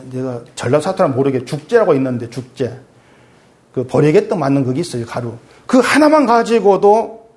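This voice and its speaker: A middle-aged male pastor preaching conversationally, asking his congregation questions and dropping low and soft when he reads scripture. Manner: swings between raised emphatic lines and quiet low asides; reads scripture aloud in a lower, softer voice. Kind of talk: sermon.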